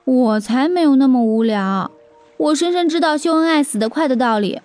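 A woman's voice: sits high, animated, moderate pace, a little mellow, no echo, soft background music, pitched above the performer's own voice. A woman voicing a sardonic, opinionated young female traveler who drops wry asides in audio-novel dialogue. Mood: dismissive.

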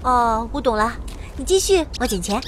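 A woman's voice: fairly high pitch, lively intonation, normal speed, noisy background, close to an everyday voice. A woman playing a cheeky, money-loving, boastful young mage who also narrates a fantasy audio drama. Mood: cheerful. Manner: playful, bouncy, boastful. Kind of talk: audio drama acting with first-person narration. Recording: background music under the voice.

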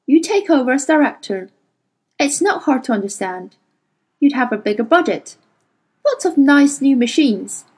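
A woman's voice: sits high, sing-song, normal speed, darkish tone, husky, exaggerated voice. A woman voicing an indignant, principled woman scientist who argues heatedly. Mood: bitterly sarcastic.

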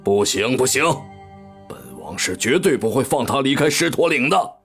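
A man playing a domineering, proud lion demon king in a fantasy audio drama, with a gruff, pompous and commanding manner. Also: mid-range voice, lively intonation, normal speed, a bit bright, soft background music. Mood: grudging, stern.

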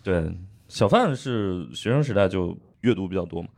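Low-voiced man hosting easygoing chat. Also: lively intonation, normal speed, a little mellow. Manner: easygoing, agreeable, many affirming fillers. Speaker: podcast host. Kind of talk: casual podcast chat.

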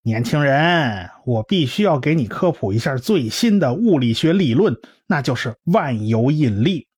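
A man's voice: medium pitch, big pitch swings, moderate pace, darkish tone, husky, no room sound, studio quality. A man playing a cocky, talkative scientist in a radio drama, with a blustering, explanatory way of talking. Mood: explaining, storytelling. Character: cocky, talkative male scientist and science populariser, brash and lively. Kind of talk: Chinese radio drama acting.

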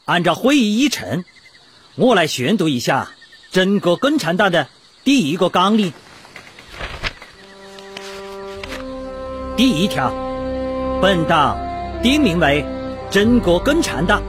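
Radio drama revolutionary: earnest, measured orator, lively pitch movement, music underneath. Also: male voice. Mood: solemn, declamatory. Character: earnest male revolutionary delegate chairing a 1921 congress. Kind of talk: Chinese historical radio drama acting.

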